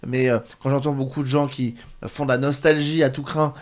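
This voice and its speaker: A man's voice: mid-range voice, level tone, fairly quick. A man hosting a conversational interview, presenting and steering the discussion. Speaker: show host.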